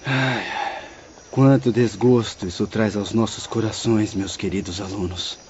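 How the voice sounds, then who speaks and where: A man playing a gentle, earnest spiritual teacher, speaking in a solemn, formal way in an audiobook drama. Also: fairly low pitch, fairly even intonation, unhurried, noisy background, natural voice. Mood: sorrowful. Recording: dull lo-fi audio under music.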